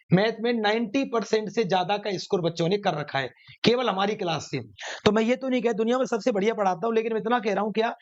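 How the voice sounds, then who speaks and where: A man lectures students as an exam coach. He drives points home by repeating phrases and posing questions that he answers himself. The recording is somewhat muffled and lo-fi. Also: high-pitched. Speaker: maths teacher running online exam classes. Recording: dull, lo-fi sound.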